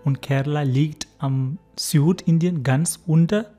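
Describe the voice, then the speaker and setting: Slow, halting Indian-accented man. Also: fairly high pitch, dark tone, soft background music. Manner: careful, halting German with fillers, recently learned. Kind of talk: interview answers.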